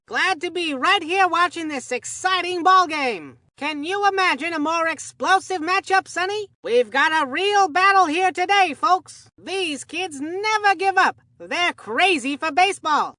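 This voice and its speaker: Folksy excitable kid baseball announcer for a cartoon game, high pitched n sharp, gushes in old timey slang. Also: female voice, no room sound, exaggerated voice. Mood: enthusiastic, admiring. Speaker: sports commentator. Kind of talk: scripted game sports commentary.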